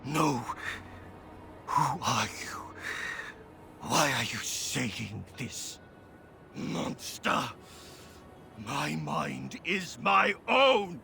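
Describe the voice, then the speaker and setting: A man's voice: on the high side, very animated, very slow, vocal fry, long pauses, sharp tone, exaggerated voice. A man playing a grave, formal old man of principle in a video game cutscene. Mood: tormented, defiant. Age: older. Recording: background music under the voice.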